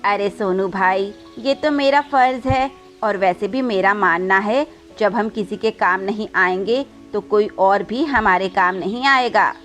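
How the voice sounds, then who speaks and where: A woman's voice: medium pitch, unhurried, few pauses, fairly bright tone, faint music behind, close to an everyday voice. A woman voicing a kind, dutiful female pigeon in a cartoon moral story. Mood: kindly.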